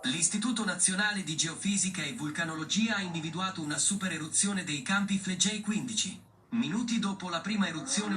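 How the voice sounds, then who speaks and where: A man's voice: sits high, noisy background. A man reading a scripted emergency warning in a formal, impersonal way. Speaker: emergency alert announcer. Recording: roomy, distant playback with music.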